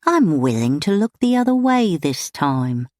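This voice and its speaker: Female narrator plays a thick-voiced London thug in an audiobook, plummy and menacing, low and dry. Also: big pitch swings, a little slow, a little mellow, no echo. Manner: musing, then impatient and threatening. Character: affable menacing male London thug, vain.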